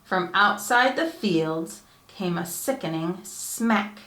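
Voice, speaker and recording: A woman reading a children's storybook aloud like a teacher, with expressive, theatrical and careful phrasing. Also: medium pitch, takes it slowly, reverberant.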